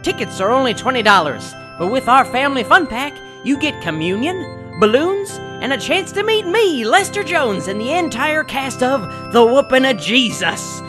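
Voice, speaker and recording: A woman's voice: big pitch swings, moderate pace, hardly any pauses, over music. A woman voicing an earnest church passion-play actor selling tickets in a parody radio advertisement. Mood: earnest, salesy. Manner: earnest salesy pitch.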